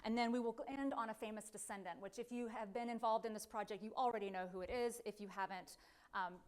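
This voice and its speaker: Booming-voiced woman giving a library talk. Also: steady tone, fast, a little mellow. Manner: chatty, self-deprecating, many fillers and restarts. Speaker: guest speaker, independent researcher. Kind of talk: public lecture. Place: library event room. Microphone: handheld or podium microphone.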